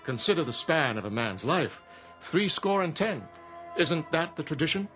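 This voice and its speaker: Urbane, smug male genie, formal and theatrical, lively swooping pitch, radio drama with faint music. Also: moderate pace. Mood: suave, teasing.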